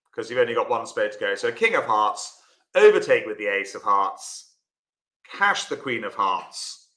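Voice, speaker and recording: Bloke teaching live online, chatty, says hi to viewers, bright voice in a roomy echoey space. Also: male voice, medium pitch. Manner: chatty, talks to viewers by name while explaining. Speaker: bridge teacher.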